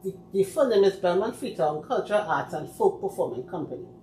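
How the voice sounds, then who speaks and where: A woman with a Trinidadian accent, speaking for a community group and explaining things in a measured way. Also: on the low side, animated, warm tone. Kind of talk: casual chat. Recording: echoey room, faint background noise.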